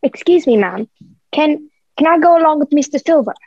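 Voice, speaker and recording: An earnest young cabin boy, voiced by a woman with a high pitch. He speaks politely, in radio play style, on a somewhat dull recording. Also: expressive, moderate pace, darkish tone. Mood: polite, eager. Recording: dull, muffled home recording.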